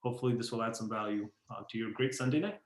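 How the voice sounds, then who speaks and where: A man's voice: fairly low pitch, racing, reverberant. A man hosting a video call, introducing a guest with enthusiastic, filler-heavy talk. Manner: enthusiastic, repetitive, many fillers. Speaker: host.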